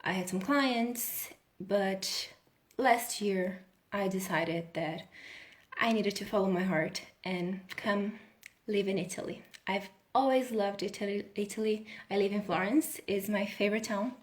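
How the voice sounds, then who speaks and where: A woman's voice: mid-range voice, a little slow. A woman in her thirties from Brazil, a healing coach talking casually on a live video and laughing often. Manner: chatty, laughs often, restarts sentences.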